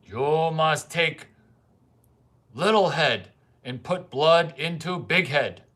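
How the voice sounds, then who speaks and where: A man's voice: fairly high pitch, lively intonation, slow, a bit bright. A man giving a rambling, crude casual monologue full of profane asides.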